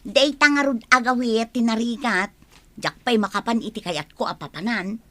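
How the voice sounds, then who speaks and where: A woman's voice: a little high, moderate pace, fairly bright tone, lightly acted. A woman playing a defiant young single mother in an audio drama, plaintive and argumentative. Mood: defiant, bitter. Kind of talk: Ilocano audio drama acting.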